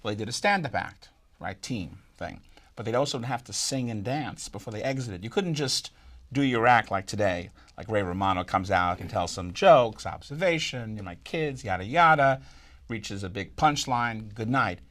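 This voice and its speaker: A man chatting casually as an interviewee, telling stories in a joking, animated way. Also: fairly low pitch, moderate pace. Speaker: vaudeville performer, interviewee. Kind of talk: casual group interview chat.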